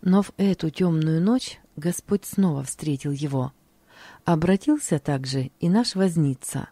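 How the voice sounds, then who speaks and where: A woman calmly reading aloud from a religious diary. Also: deep voice, no echo. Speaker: narrator.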